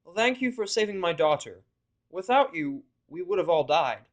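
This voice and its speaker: A man voicing a somber, humble father pony in a cartoon story, earnest and measured. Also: high voice, lively intonation, voice pushed up. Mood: somber, grateful.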